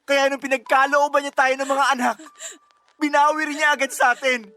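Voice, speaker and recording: Radio drama husband: bereaved, blaming and pleading, high-pitched tense delivery. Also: male voice, level tone, brisk, sharp tone, faint music behind. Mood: accusing. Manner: tearful, accusatory, pleading. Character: anguished, blaming husband grieving his lost children. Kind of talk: emotional radio drama acting.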